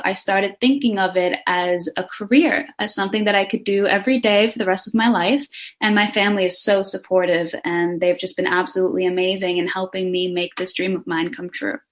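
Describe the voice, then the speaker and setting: A young woman from Denmark, a singer and actress, answering interview questions eagerly and running her sentences together. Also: mid-range voice.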